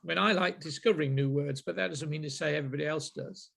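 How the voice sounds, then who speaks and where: A middle-aged man with a Manchester accent, leading a group sales session in a deliberate, reflective way. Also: a little high, gravelly, a bit bright, breathy edge. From Manchester, England. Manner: deliberate, with frequent ums and restarts.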